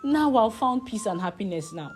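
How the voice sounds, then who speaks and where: A proud, wronged wife in a folktale, performed by a woman narrator. She is guarded and firm, with a cold steadiness in her words and strong rises and falls in pitch. Music plays softly behind her. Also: lively intonation, normal speed, lightly acted.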